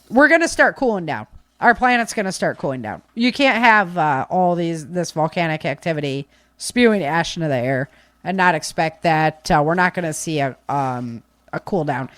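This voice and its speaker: A woman's voice: fairly low pitch, expressive, normal speed, a bit bright, no room sound. American woman podcaster, dry close sound. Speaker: podcast host. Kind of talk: casual unscripted podcast commentary.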